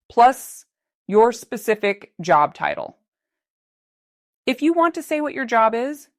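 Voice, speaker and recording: A woman narrating a scripted English lesson as the teacher, speaking slowly and carefully with clear, deliberate articulation. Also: lowish voice, no room sound, studio quality.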